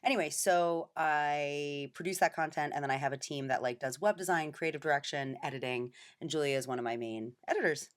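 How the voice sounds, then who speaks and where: A woman in her thirties from New York with a New York accent, chatting on a podcast and telling stories quickly, full of fillers. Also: low-pitched. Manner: chatty, fast storytelling with lots of fillers. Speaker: podcast guest. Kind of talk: casual chat.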